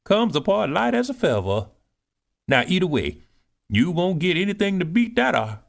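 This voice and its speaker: A man voicing a proud, bustling older woman cook, speaking in high, swooping tones. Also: normal speed, gravelly, heavily acted. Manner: animated, scolding, swoops high. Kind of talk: audiobook character reading.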